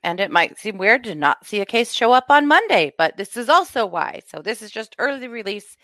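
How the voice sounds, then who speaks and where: A hoarse woman co-hosting a podcast, chatting and recapping news with dry humor. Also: a little high, big pitch swings, a little fast, a bit bright, dry recording. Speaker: podcast co-host. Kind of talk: casual chat and news recap.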